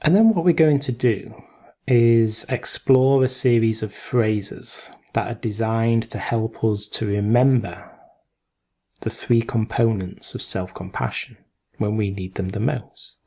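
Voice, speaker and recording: A man guides a meditation in a soft-edged, mellow voice with a gravelly creak. He gives gentle instructions very slowly, in short phrases with long pauses between them. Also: fairly low pitch.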